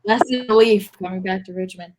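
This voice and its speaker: A woman's voice: mid-range voice, fast, noisy background. A woman chatting casually on a sports podcast, using "like" often. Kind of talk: casual chat.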